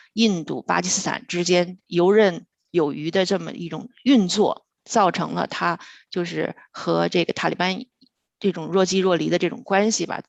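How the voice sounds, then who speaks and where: A woman's voice: on the low side, gravelly, no echo. A woman acting as host and interpreter, casually paraphrasing what a guest has said in a conversational way.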